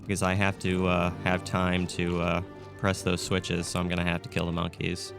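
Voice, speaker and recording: A man with a raspy, scratchy throat talks his way through a video game in a casual, thinking-aloud manner. His voice sits low and has a gravelly fry to it. Faint music runs underneath. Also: monotone, moderate pace. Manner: chatty, thinks aloud with fillers. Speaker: gameplay commentator. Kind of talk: casual let's-play commentary.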